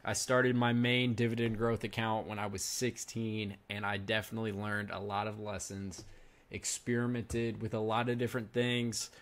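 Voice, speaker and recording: A man's voice: fairly low pitch, flat delivery, moderate pace. A man in his twenties giving casual reaction commentary as a finance video host, chatting to his viewers and calling them "guys" often. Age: 20s.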